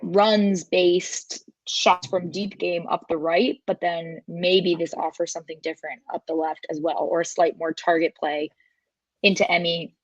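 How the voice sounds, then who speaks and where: A woman's voice: on the low side, steady tone, a little slow, no room sound, dull audio. A woman giving casual, tentative sports analysis as a podcast panelist.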